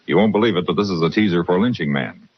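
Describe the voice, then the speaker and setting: A man working as a radio announcer in a formal 1950s style, theatrical and clearly projected. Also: deep voice, expressive, fast talker. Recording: muffled vintage radio recording.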